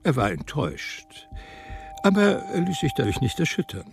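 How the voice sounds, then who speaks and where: A man reading literary narration in a German radio drama, measured and reflective. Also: fairly high pitch, wildly swooping pitch, gravelly. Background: music.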